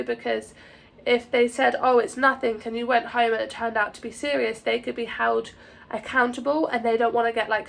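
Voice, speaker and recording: Croaky, anxious young woman vlogging. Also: a little high, a bit bright. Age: young adult. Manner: anxious, halting, full of fillers and restarts. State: croaky from a sore throat. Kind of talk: casual to-camera vlog.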